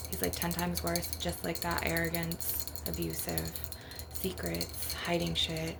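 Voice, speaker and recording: A woman with a rather low voice that often crackles into fry. She rambles casually, blunt and aggrieved, swearing freely. Clear background noise and clinking glass taps run behind her. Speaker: ASMR video creator. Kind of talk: casual rambling monologue over ASMR tapping. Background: glass and crystal tapping.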